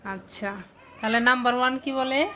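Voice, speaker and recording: Bright-voiced woman coaxing her child. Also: fairly high pitch, normal speed, slight background noise. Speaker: parent filming her child. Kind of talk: casual home video chat.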